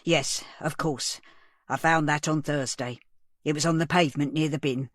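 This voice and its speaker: A woman's voice: low-pitched, steady tone, normal speed, dry recording, clean studio sound, natural voice. A woman voicing a nervous male cafe owner under police questioning, speaking defensively with a tremor in his voice. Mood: guarded. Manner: defensive, voice trembling.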